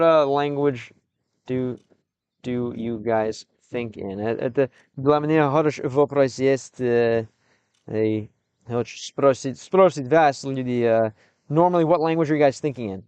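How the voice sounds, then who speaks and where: American man streaming in halting Russian. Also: medium pitch, darkish tone, clean tone, no room sound. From United States. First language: English. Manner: halting, mixes Russian and English, reads chat aloud. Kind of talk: casual live stream chat with viewers.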